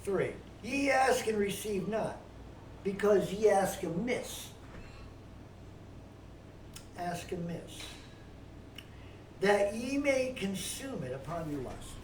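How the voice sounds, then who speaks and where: An older woman giving informal Bible teaching as a story, in a folksy, halting way with repeated words and frequent pauses. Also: mid-range voice, expressive. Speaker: preacher telling a story. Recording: roomy, distant mic with faint noise.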